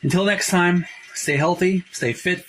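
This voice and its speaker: A man's voice: high-pitched, a little slow, a bit bright, soft background music. A middle-aged man hosting a casual video, describing box art in a rambling, hesitant way with many fillers and restarts, and calling his viewers folks. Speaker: host.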